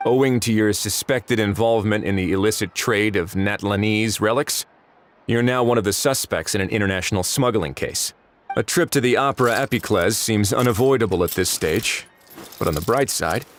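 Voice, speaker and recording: A man playing a low-voiced, dry-witted official. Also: a little hiss or hum, close to an everyday voice. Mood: stern, measured. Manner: measured, dry, unhurried authority. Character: laid-back, authoritative male prison-official investigator, dryly wry. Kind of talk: video game voice acting.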